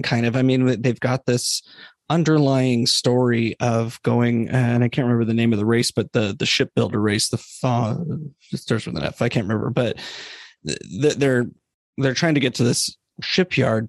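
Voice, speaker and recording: A man with an average-pitched voice talks as a guest in a casual podcast discussion. He thinks aloud and hedges as he goes. The recording is dry and free of room sound. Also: no echo.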